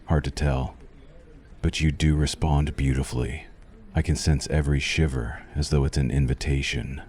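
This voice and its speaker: Male actor as vampire doctor for ASMR: extremely low, mellow voice, formal, possessive, softly menacing. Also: steady tone, moderate pace. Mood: clinical, teasing. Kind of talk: ASMR roleplay, scripted monologue to the listener.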